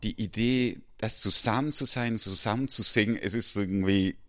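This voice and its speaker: A middle-aged man from Ecuador with a South American Spanish accent, a music school head talking casually in halting German with frequent restarts and easy laughter. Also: lowish voice, fairly bright tone. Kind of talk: casual interview chat.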